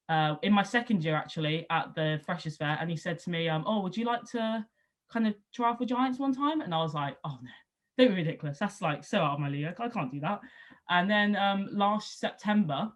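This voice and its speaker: A young woman with a West Country lilt from Somerset talks through her backstory in a relaxed, chatty flow. She fills her sentences with ums and likes, and lifts her voice when she acts out what other people said. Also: on the low side. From Somerset, England. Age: 20s. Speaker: rugby league player. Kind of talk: casual interview answers.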